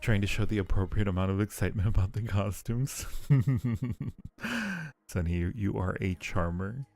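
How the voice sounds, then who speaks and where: A man chatting casually with viewers as a livestream host, sometimes halting as he explains things. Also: on the low side, lively intonation, creaky, darkish tone. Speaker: streamer and audio roleplay creator. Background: faint music.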